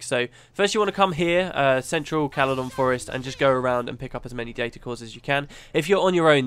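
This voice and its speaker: A man with a cold narrating a gameplay guide, explaining things step by step in a relaxed, chatty way. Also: medium pitch. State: has a cold, sniffly. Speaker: gaming channel host.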